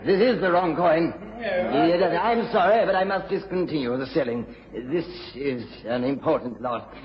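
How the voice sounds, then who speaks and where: A man's voice: fairly high pitch, expressive, moderate pace, lightly acted. A man playing a fussy, courteous auctioneer in a radio drama, speaking formally and hesitantly. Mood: flustered. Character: flustered, formal male auctioneer, stuffy and courteous. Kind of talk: British period radio drama acting. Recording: muffled, echoey old radio, crowd behind.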